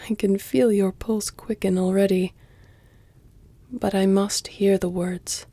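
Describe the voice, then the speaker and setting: A woman voicing an elegant, flirtatious vampire in an intimate audio roleplay, with a hushed, breathy, deliberate and formal delivery. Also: mid-range voice, steady tone, dry recording. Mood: seductive, hesitant. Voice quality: breathy, hushed, often near whisper. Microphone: close, dry microphone.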